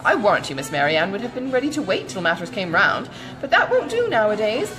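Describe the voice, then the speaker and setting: An older gentlewoman from a classic storybook, played by a woman reader, chatters on with great feeling. Her pitch leaps and swoops as she exclaims, kindly and gossipy, quick to take offence on behalf of others. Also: rough recording. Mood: indignant. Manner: exclamatory, chattering, dramatically emphatic. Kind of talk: audiobook character dialogue from a classic novel.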